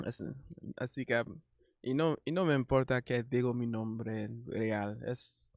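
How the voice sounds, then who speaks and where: Halting Haitian man speaking learner Spanish. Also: medium pitch, darkish tone, no echo, studio quality. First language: not Spanish. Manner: halting, self-correcting learner Spanish, laughs often. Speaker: streamer. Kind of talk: casual chat.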